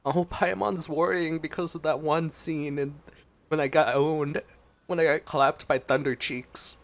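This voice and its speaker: A man's voice: on the high side, fairly even intonation, unhurried. A man giving casual, jokey reaction commentary as a gaming streamer. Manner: jokey, playful. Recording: game music behind the voice.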